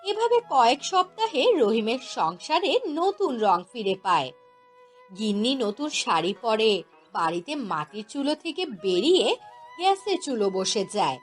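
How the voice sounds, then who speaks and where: A woman narrates a cartoon tale from a script. Her delivery is slow and lively in intonation, with music underneath. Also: a little high.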